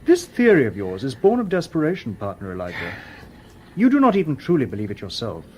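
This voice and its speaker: An actor playing a polite, logical humanoid robot detective in a radio drama, speaking formally and precisely without emotion. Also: male voice, medium pitch, wildly swooping pitch, a little hiss or hum. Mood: calm, reasoning. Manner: formal, precise, measured, unemotional.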